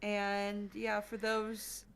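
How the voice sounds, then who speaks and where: A woman's voice: mid-range voice, monotone, extremely slow, gravelly, a bit bright, clear, resonant tone. A woman chatting casually as a podcast guest, with a playful, teasing manner. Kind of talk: casual livestream chat.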